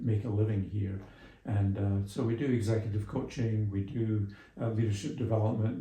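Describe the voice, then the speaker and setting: Mature man, low mellow voice, roomy sound. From Scotland and England. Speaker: interview guest, small business mentor and executive coach. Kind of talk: conversational radio interview.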